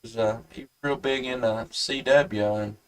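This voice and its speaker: A man chatting casually and haltingly as an amateur radio operator. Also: mid-range voice, steady tone, distant mic. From United States. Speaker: amateur radio operator hosting a live stream.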